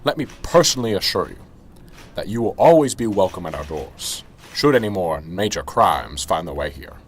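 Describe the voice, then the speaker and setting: Man acting a middle-aged small-town police sergeant, animated. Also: low-pitched, normal speed, heavily acted. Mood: chummy, solemn. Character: corrupt small-town police sergeant, chummy until cornered, then indignant. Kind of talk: amateur radio drama acting.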